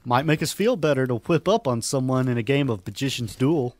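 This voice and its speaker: A man playing a sarcastic, excitable young nerd, a rich-boy sidekick who banters in a comic radio drama. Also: medium pitch, a little fast, dry recording, lightly acted.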